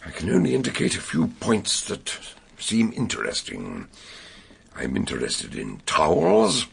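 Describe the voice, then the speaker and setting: An actor playing an eccentric, brilliant older British detective doctor in a radio drama, ponderous, emphatic and theatrical. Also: male voice, very deep voice, wildly swooping pitch, unhurried, vocal fry, husky, full character voice. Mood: ponderous, musing.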